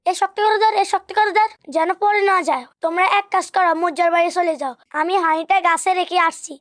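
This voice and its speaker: A woman's voice: very high voice, steady tone, quick pace. A woman voicing a bossy young schoolboy ringleader in a children's cartoon dub. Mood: bossy, scheming. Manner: bossy, commanding.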